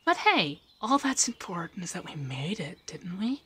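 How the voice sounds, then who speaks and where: Soft-spoken flirty femboy monster companion, acted by a man. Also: high voice, big pitch swings, normal speed, breathy edge, full character voice. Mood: upbeat, earnest. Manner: flirty, gently teasing, shyly stammering. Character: affectionate, strong, teasing male Lovander, a femboy monster companion. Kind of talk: ASMR roleplay.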